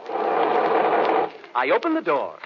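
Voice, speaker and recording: A man's voice: a little high, huge pitch swings, very slow, exaggerated voice. A man playing an earnest, self-important, eccentric sound-effects man in a 1940s radio comedy. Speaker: radio comedy character actor. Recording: muffled, noisy old radio recording.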